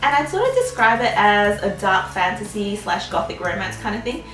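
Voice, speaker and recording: A woman's voice: medium pitch, sing-song, moderate pace, a bit bright, echoey room, distant mic, soft background music, rough recording. A young woman in her twenties, chatting through a casual Q&A with a bubbly, giggly manner and lots of "um"s and "like"s. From Australia. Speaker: vlogger. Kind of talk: casual Q&A chat.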